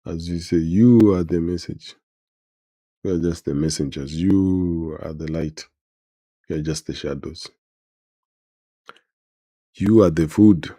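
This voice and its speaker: A man's voice: low voice, slow, pauses often, warm tone, no echo, studio quality. A man with a Kenyan accent, hosting a podcast in a casual, conversational style. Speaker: podcast host. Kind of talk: casual bilingual chat.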